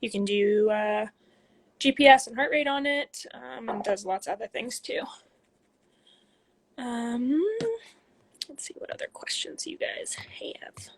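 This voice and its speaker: A woman in her twenties, speaking from a room at home into a phone, chats casually with many ums as she reads out viewer questions and answers them, sometimes dropping to soft asides. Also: a little high, a little slow, long pauses, slight background noise. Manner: reads comments aloud, chatty, frequent ums, drops into soft asides. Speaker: athlete hosting a live Q&A.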